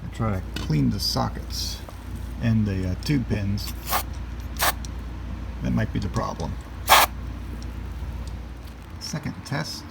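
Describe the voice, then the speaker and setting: Guy with a super creaky voice muttering short plain bits while fixing stuff, clear background noise. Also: lowish voice, takes it slowly, long pauses. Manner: plainspoken, talking in short fragments while working. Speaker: hobbyist restoring vintage radios. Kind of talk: casual hands-on repair narration. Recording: noisy room with steady background hum.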